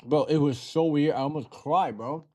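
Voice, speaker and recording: A man's voice: a little high, normal speed, a little mellow. A tired young man from Taiwan, chatting to viewers on a livestream in slangy, swearing speech. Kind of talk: casual livestream chat.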